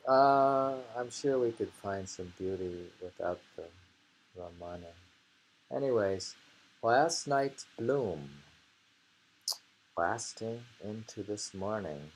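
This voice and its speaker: A man chatting casually and reading short poems aloud, musing and pausing between thoughts. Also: lowish voice, slight background noise, rough recording. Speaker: poetry reader and discusser. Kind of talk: casual chat with slow poem reading.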